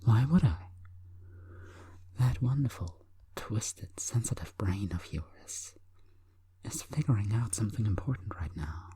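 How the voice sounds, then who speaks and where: A woman hypnotist delivering an intimate sleep hypnosis monologue in a breathy, coaxing, deliberate whisper. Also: a bass voice, animated, warm tone, no room sound.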